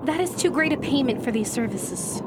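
Woman acting a high-pitched, beseeching Victorian daughter. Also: animated, moderate pace, background noise. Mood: anxious concern. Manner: formal, beseeching old-fashioned speech. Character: meek, pleading young Victorian daughter, dutiful, anxious. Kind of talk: theatrical radio drama acting.

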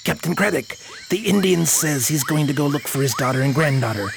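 Creaky-voiced plain-spoken crewman played by a man. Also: fairly high pitch, expressive, a little fast, music in the background. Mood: reporting. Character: matter-of-fact male crewman reporting to captain. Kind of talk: pirate radio drama acting.

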